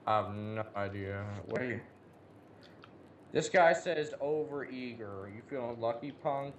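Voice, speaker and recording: A young man plays a game with a friend, talking in a crude, joking, casual way. His pitch is average for a man, and faint noise sits behind the voice. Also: a little slow, dull audio. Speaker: gamer in co-op let's play. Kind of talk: casual gaming chat with a friend.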